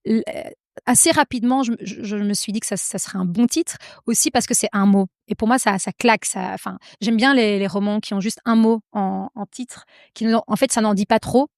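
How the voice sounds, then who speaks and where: Une femme belge à l'belge, autrice invitée, qui parle de façon hésitante avec beaucoup de « euh » et de « en fait ». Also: female voice, medium pitch, fairly quick, no echo, studio quality. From Belgium. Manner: hesitant, many fillers and restarts. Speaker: guest author. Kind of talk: casual interview conversation.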